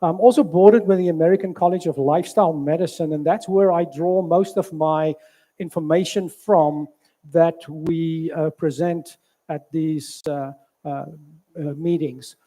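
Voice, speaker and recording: South African man, high voice, mellow tone. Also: steady tone. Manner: halting, many filler sounds. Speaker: physician giving a health talk. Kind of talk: church health presentation. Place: church hall.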